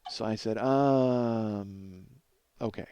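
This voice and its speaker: A man hosting a podcast, telling a casual, profane comic story in an animated, exaggerating way and acting out both sides of conversations. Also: on the low side, very slow, dull audio, background noise. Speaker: podcast host.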